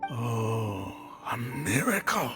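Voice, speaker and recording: An actor playing a devout, proud and touchy desert zealot leader in a video game, with a forceful, gravelly delivery. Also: male voice, medium pitch, big pitch swings, soft background music, exaggerated voice. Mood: awed, fervent. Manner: fervent, slow, dramatic. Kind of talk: video game character acting.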